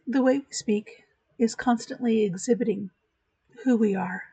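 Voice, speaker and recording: A woman hosting a virtual church chat, giving a calm, reflective spoken meditation. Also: fairly high pitch, normal speed, husky, no echo, poor audio.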